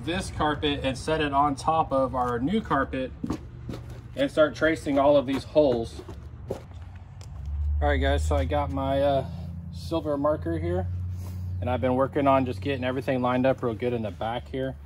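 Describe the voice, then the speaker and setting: A man's voice: mid-range voice, steady tone, moderate pace, long pauses. A middle-aged man with an American accent giving a casual, plain-spoken, step-by-step DIY car repair tutorial. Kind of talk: casual hands-on tutorial narration. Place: outdoors on a patio and driveway, and at a car. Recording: clear background noise, outdoor ambience.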